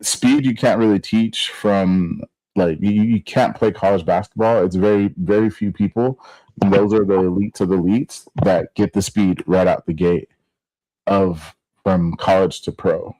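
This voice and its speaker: A man's voice: deep voice, steady tone, unhurried, dark tone, dry recording, rough recording. A man co-hosting a podcast, explaining basketball in a laid-back way, dropping bro and man. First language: Spanish. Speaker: podcast co-host. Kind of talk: casual sports chat.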